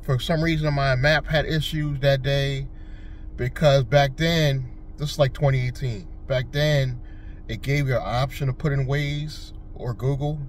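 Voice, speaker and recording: A man's voice: medium pitch, fairly even intonation, measured pace, a little hiss or hum. Rambling man driver chatting casually. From Connecticut. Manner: rambling, conversational, with frequent fillers and restarts. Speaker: rideshare driver and channel host. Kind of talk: casual vlog talk.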